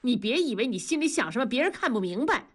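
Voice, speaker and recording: A woman voicing an aggrieved, suspicious wife and mother who nags and is quick to accuse, in an audio drama. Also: a little high, sing-song. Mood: angry, nagging.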